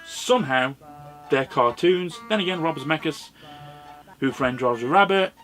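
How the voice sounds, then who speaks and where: Animated British man, sardonic reviewer. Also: fairly high pitch, moderate pace, faint music behind, low-quality recording. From Britain. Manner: opinionated, sardonic, sweary. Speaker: host and reviewer. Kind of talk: informal scripted video review commentary.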